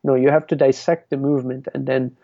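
A man's voice: medium pitch, flat delivery, a little fast, mellow, no room sound, dull audio. A man from Lebanon, a dance artist, talking reflectively in a podcast interview, with many restarts. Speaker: guest, belly dance artist.

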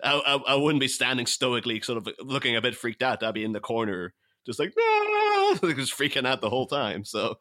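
Male, bright forceful voice, very lively intonation, rambling casual podcast talk. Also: mid-range voice, brisk. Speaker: podcast panelist. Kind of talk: casual chat.

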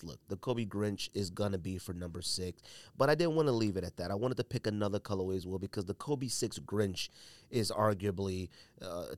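Male podcast host, lowish voice, slangy and emphatic, casual opinion talk, close dry sound. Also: dry recording.

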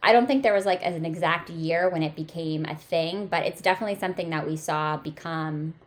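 Woman, podcast host, fairly low voice, teasing banter. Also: brisk. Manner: playful, bantering. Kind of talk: casual chat.

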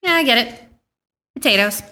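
A woman's voice: a little high, lively intonation, normal speed, pushed and bright. A woman playing a blunt, incredulous, exasperated companion in a live radio comedy sketch. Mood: doubtful. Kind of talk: live old-time radio sketch acting.

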